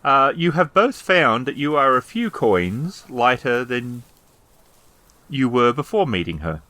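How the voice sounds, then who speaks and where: A man's voice: mid-range voice, big pitch swings, measured pace, pushed and bright, no echo. A man narrating a tabletop roleplaying game as storyteller, describing scenes to the players.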